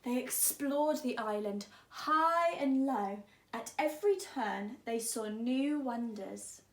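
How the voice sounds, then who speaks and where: A woman teacher with a fairly high voice reads to children. She is expressive and deliberate, lingering on words at a slow pace. The room adds a clear echo. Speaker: teacher reading to pupils. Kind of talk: expressive children's story reading.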